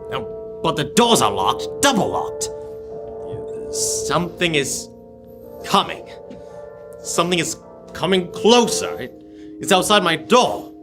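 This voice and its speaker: A man's voice: a little high, wildly swooping pitch, takes it slowly, pauses often, over music, exaggerated voice. A young man acting a grumpy, fearful old miserly businessman in an old-time radio drama. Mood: fearful, defiant. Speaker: student actor.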